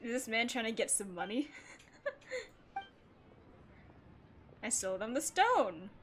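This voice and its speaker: Animated, high-voiced woman streaming a game. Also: slow, a little hiss or hum. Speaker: livestream host. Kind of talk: casual gaming livestream commentary, reading game dialogue aloud.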